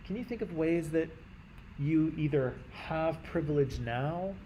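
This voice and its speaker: A man's voice: a little high, animated, measured pace, dark tone, muffled recording, slight background noise. Canadian man teaching, lots of "right?". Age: adult. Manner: conversational, frequent "right?" checks with students; clears throat often. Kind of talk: classroom lecture.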